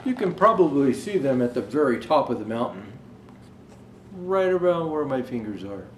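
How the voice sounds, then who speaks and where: Man playing an expressive, jolly storytelling Santa in echoey room. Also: mid-range voice, normal speed, pitched below the performer's own voice, lightly acted. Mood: gentle storytelling. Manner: jovial ho-ho greetings, chatty asides. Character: Santa Claus, jolly old storyteller reading to children. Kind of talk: children's storybook read-aloud. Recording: roomy echo, faint background noise.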